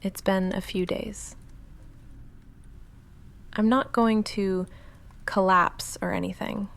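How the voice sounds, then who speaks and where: Woman acting as goth vampire roommate, heavy vocal fry, unhurried, soft halting near-whisper, dry, guarded affection, ASMR roleplay. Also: medium pitch, fairly even intonation. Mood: weary, subdued. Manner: soft-spoken, halting, often dropping into breathy near-whisper.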